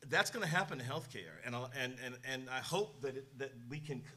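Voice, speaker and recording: A man speaks on a panel with earnest urgency. His voice is bright and forceful, and it sits at an ordinary middle pitch. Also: lively intonation, a little fast, breathy edge. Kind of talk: panel discussion.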